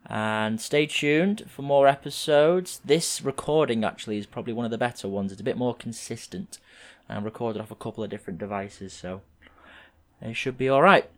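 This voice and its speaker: A young man in his twenties from England, hosting a podcast and talking through a rambling solo intro in a slightly croaky voice. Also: medium pitch, normal speed. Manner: relaxed, rambling, with frequent fillers. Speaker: podcast host.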